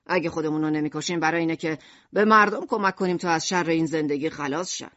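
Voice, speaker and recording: A woman voicing a gloomy, dismissive shopkeeper father in an audiobook, deadpan with hesitant hums. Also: sits low, no echo, dull audio.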